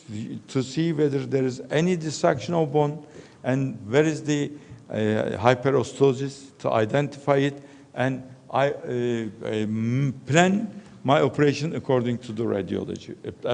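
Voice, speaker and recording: A man, a surgeon, answering a panel question in a halting way, searching for words. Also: mid-range voice, gravelly, darkish tone. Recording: long reverb of a conference hall.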